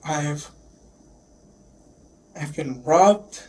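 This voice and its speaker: A man being questioned by police, recounting in a halting, shaken way while getting over a sickness. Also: on the high side, mellow. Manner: shaken, halting, repeats himself. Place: police questioning, recorded on bodycam. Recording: noisy police bodycam audio.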